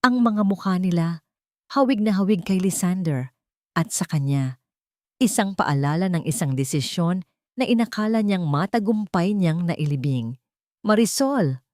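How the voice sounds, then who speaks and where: A woman's voice: lowish voice, lively intonation, normal speed, darkish tone, no room sound, studio quality. A woman reading a scripted story as a dramatic audiobook narrator.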